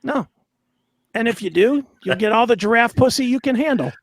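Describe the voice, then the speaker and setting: A man with a raspy voice co-hosting an unscripted talk show, arguing in a crude, defiant, profane way. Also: extremely high pitch, animated, normal speed, no echo, clean studio sound.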